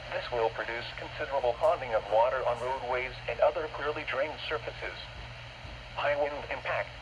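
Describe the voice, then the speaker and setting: A man's voice: medium pitch, muffled recording. Man reading radio forecast through noise. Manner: formal, impersonal, segmented delivery. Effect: weather radio broadcast. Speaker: weather radio announcer. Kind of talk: scripted forecast bulletin reading. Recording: noisy radio reception, static.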